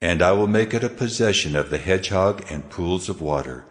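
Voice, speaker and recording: An actor playing a stern, sovereign deity decreeing judgment in a solemn, commanding manner, in dramatized scripture. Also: male voice, deep voice, animated, a little fast. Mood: stern, resolute. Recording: long reverberant hall effect.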